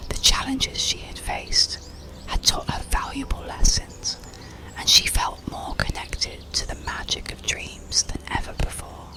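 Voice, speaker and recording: A narrator reading a bedtime story in a breathy ASMR whisper, soothing, measured and unhurried. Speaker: audiobook narrator.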